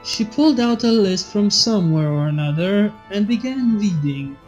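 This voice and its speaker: A woman's voice: medium pitch, animated, moderate pace. A young adult woman reading a story aloud as a narrator. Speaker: fanfiction reader and channel host. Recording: slightly dull audio, soft background music.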